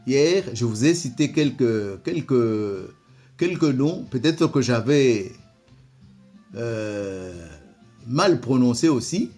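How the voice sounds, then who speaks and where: A man's voice: mid-range voice, lively intonation, takes it slowly, dark tone, soft background music. A man, not a native French speaker, giving commentary and reading from an old document, hesitant with many repeated words. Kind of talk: slow spoken commentary reading from a book.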